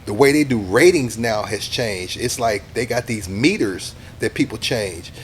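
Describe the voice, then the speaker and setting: A middle-aged man, a radio DJ talking casually in an interview, animated and acting out what other people say. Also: fairly low pitch, unhurried, a little hiss or hum. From Texas, USA. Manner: animated, acts out quoted people, says "you know what I'm saying" a lot.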